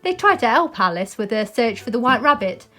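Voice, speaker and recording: A woman's voice: on the high side, sing-song, brisk, bright, forceful tone. A British woman presenting and reading a children's story aloud.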